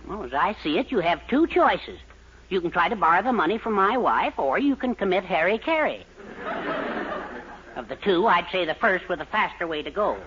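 A man's voice: high-pitched, very animated, moderate pace, muffled recording. A wry, sardonic father. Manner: dry, sardonic wisecracking. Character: wry middle-aged father, dry and sardonic. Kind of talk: 1940s radio sitcom acting. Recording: old radio show with live audience and loud hiss.

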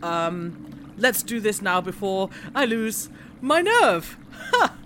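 Slow, bright-voiced bantering woman. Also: mid-range voice, very animated, a little hiss or hum. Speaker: tabletop role-play player. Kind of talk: casual improvised banter.

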